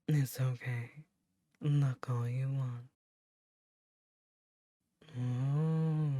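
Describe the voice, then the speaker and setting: An ancient demon queen, as a woman puts her on, whispering very low and dark right up against a close mic. She speaks slowly and intimately, teasing yet motherly. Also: dry recording, heavily acted. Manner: teasing, then gently nurturing. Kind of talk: ASMR audio roleplay.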